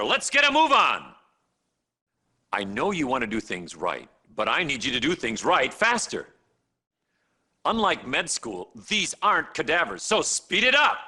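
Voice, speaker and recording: An actor playing a smug, vain, middle-aged hospital chief of staff in a video game cutscene, pompous and self-satisfied. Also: male voice, on the high side, sharp tone, exaggerated voice. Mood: impatient. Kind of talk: live-action video game cutscene acting.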